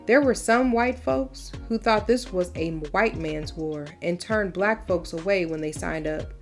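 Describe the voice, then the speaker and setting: A woman's voice: on the low side, a little slow, faint music behind. A woman reading a storybook as a proud, folksy mother who recounts wartime history in an emphatic, conversational first person. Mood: reflective, earnest. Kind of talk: storybook read-aloud, first-person narration.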